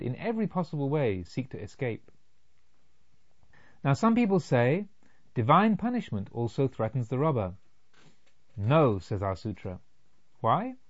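A man narrating a literary audiobook in a measured, formal, expository way. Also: mid-range voice, a little mellow, highs cut off.

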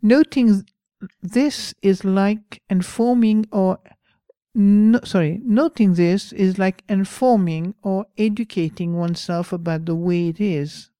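A woman with a French accent giving a slow, pausing dharma talk as a meditation teacher. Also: mid-range voice, mellow, no echo, clean studio sound.

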